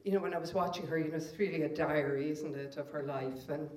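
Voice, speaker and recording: A man's voice: a little high, fairly even intonation, fast talker, darkish tone. A man on a panel speaking earnestly for an organization, often adding tag questions. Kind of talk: panel discussion. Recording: echoey room from far off.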